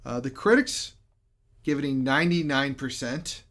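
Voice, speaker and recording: A man's voice: medium pitch, normal speed, a bit bright. A man co-hosting a podcast, chatting casually about a movie, reading off credits and review scores with frequent laughs. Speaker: podcast co-host.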